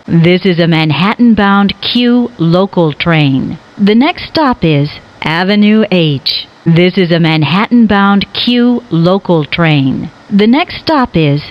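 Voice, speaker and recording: A woman giving recorded train announcements in a measured, formal way. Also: fairly low pitch, lively intonation. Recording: dull, noisy recorded transit announcement.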